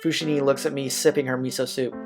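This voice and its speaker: A man's voice: medium pitch, flat delivery, quick pace. A man hosting a playthrough, reading a visual novel's narration aloud and now and then stumbling on words. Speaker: video game playthrough host. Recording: music behind the voice. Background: game soundtrack music.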